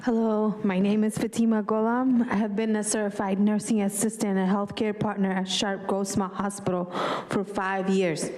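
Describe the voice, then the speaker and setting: Earnest woman, mellow voice. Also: medium pitch, level tone, slight background noise. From Lebanon. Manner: earnest, measured, slight grammar slips. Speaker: public commenter, nursing assistant. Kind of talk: prepared public comment.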